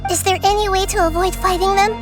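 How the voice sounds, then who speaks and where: Very high, gentle young girl deity. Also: steady tone, fast talker. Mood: sorrowful. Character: gentle, earnest young girl god of wisdom. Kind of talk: video game voice acting. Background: game music.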